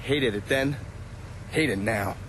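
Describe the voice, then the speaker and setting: An actor playing a world-weary, cynical intelligence agent, sardonic and profane, in a video game cutscene. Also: male voice, medium pitch, takes it slowly, a bit bright, slight background noise, rough recording. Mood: bitter.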